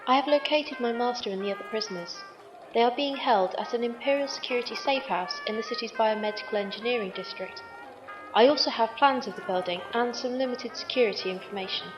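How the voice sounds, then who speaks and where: A woman's voice: a little high, fairly quick. A woman acting as a precise artificial servant in an audio drama, stiff and formal, with an echoing synthetic effect. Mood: formal, factual. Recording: echoey, dull sound under music.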